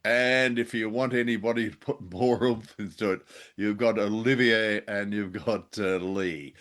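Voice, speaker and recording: A man's voice: lowish voice, steady tone, measured pace, fairly bright tone. A man co-hosting a review podcast, chatting casually in a wry, jokey way. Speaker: podcast co-host.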